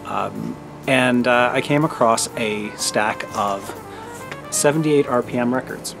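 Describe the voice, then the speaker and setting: A middle-aged man giving a thoughtful, halting personal monologue as a vlogger, in a measured way. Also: medium pitch, vocal fry, music in the background. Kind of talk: personal reflective monologue to camera.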